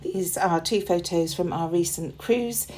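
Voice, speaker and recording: A woman with a slightly hoarse voice, chatting in a relaxed way as she narrates a scrapbooking process video, with plenty of ums. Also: on the low side, measured pace, a little hiss or hum. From United Kingdom. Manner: relaxed and chatty, with frequent ums and small laughs. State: slightly hoarse, losing her voice. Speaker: crafting channel host.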